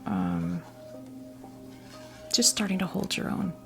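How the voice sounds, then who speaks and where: Whispered female voice, American, fifties, heavy vocal fry, halting and gentle, ASMR tarot role play, faint music behind. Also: medium pitch, animated. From New Jersey, US. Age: 50s. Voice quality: whispered, breathy soft voice. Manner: slow, halting, gently reassuring. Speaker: ASMR performer playing a tarot reader.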